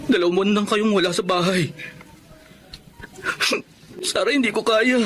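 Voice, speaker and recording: An actor playing a humbled, remorseful husband, speaking earnestly in a Filipino radio drama. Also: male voice, high voice, a bit bright. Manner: earnest, imploring. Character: remorseful, humbled husband pleading with his estranged wife.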